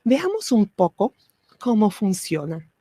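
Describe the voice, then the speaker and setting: Italian-native woman teaching spiritual stuff, real slow and calm, dry close sound. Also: mid-range voice, breathy edge, dry recording. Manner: slow, measured, with pauses and fillers.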